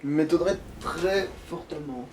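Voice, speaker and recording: A man's voice: on the high side, measured pace, darkish tone, far from the mic, a little hiss or hum. A man giving casual, crude and joking commentary while he plays a video game. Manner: informal, crude swearing, joking. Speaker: player and host of a gaming let's play.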